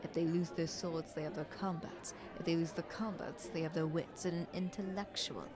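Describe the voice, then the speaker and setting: A woman playing a scheming, flattering queen in an audio roleplay, speaking in a haughty, ornate courtly manner. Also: fairly low pitch, moderate pace, crowd noise, natural voice. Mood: haughty, persuasive. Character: visiting queen, scheming, flattering, condescending royal negotiator.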